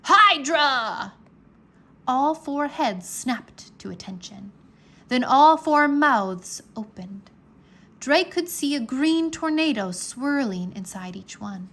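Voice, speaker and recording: A woman reads a children's adventure aloud as narrator. Her voice sits on the high side, and she takes her time with measured, dramatic, expressive phrasing. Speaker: storybook narrator.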